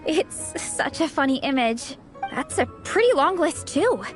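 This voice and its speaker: An eager young team captain from a video game. Her voice is high and swings widely in pitch, perky and encouraging throughout, with soft music underneath. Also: very animated, takes it slowly, full character voice. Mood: earnest, amused.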